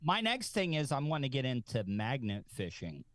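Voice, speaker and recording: A man as a talk show guest, chatting casually in a halting, probing way. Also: mid-range voice, huge pitch swings, fairly bright tone, no room sound, studio quality. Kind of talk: casual chat.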